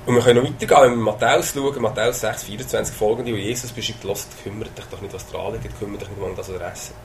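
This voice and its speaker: Man, Swiss German accent, preacher, gravelly low voice, faint background noise. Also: fairly even intonation, very fast. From Switzerland. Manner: conversational preaching with hesitations and rising emphasis. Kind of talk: sermon.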